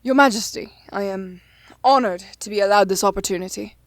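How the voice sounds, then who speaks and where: A woman's voice: medium pitch, a little fast, no echo, close to an everyday voice. A woman voicing an anxious, bookish young nobleman who speaks formally and gets flustered.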